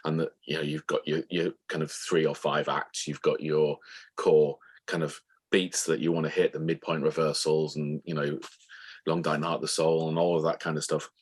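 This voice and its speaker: Very low-pitched man explaining his craft. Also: level tone, moderate pace, breathy edge. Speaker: interview guest, author of novels and audio dramas. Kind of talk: conversational interview about writing craft.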